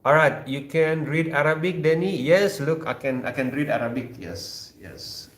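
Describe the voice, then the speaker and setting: A man's voice: a little high, a little slow. Joking Indonesian man in an echoey room. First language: Sundanese. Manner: joking, teasing, many fillers and restarts. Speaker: host of a live chat stream. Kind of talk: casual live chat reading viewer comments.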